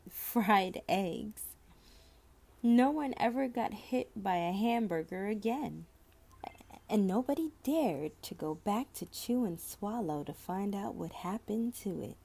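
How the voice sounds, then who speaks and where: Woman reading a kids book out loud, slow n soft-edged mellow voice, stretches words for effect. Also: mid-range voice. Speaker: storybook reader. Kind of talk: read-aloud children's story narration.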